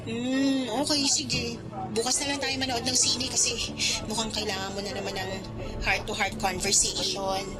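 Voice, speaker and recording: Actress as supportive girl best friend, Filipino accent, high pitch, animated radio-play delivery, prominent music. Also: normal speed, lightly acted, low-quality recording. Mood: chatty, friendly. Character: young woman, chatty supportive best friend. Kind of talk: Filipino radio drama acting. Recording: loud music bed behind voice.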